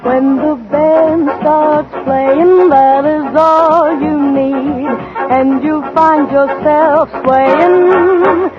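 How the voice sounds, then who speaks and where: A woman's voice: sits high, steady tone, very slow, over loud music. A woman singing 1940s swing as a guest singer on a radio show. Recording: muffled 1940s radio broadcast. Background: loud swing band with brass.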